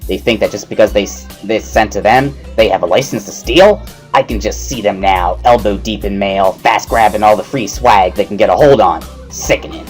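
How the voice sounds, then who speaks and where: A man voicing a paranoid, excitable male student in a visual novel reading, agitated, suspicious and ranting. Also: lowish voice, normal speed, faint music behind, close to an everyday voice.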